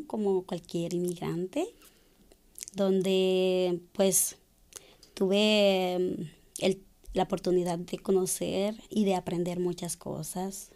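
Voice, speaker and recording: A middle-aged woman from Ecuador, a guest in an interview, telling her own story hesitantly with many pauses and fillers. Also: fairly low pitch, dark tone. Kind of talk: personal account in an interview.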